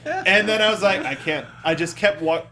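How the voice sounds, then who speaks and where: Guy host with a kinda high voice, slangy casual gamer chat, animated storyteller. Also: normal speed, a little hiss or hum. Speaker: talk show host. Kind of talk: casual chat about video games.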